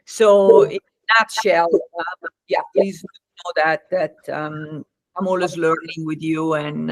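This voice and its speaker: A woman with an Italian accent speaking as an interview guest, halting and pausing often mid-thought. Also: on the low side, fairly bright tone, no echo, poor audio. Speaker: interview guest, life coach and psychologist. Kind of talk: conversational interview.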